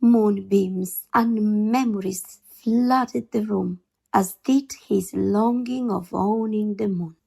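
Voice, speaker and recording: A woman reading a children's picture book aloud in an expressive storytime style, with deliberate, dramatic emphasis on key words. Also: mid-range voice, creaky, breathy edge.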